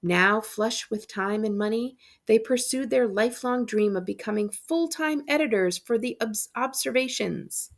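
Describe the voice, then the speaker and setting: A woman, a school librarian, reading a storybook aloud as an expressive narrator. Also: a little high, normal speed, gravelly, hardly any pauses.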